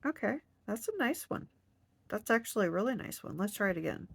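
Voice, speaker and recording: A woman's voice: mid-range voice, big pitch swings, brisk, gravelly, no room sound. A woman crocheting on camera, a little stuffed up, chatting to herself and counting stitches under her breath. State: stuffed up nose. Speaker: crochet vlogger. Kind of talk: casual hands-on crafting demo.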